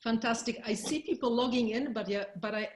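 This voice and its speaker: A woman's voice: fairly high pitch, fairly quick, reverberant, a little hiss or hum. A woman hosting and presenting an online webinar over a video call, friendly and guiding, laughing often. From Poland. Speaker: webinar host and speaker.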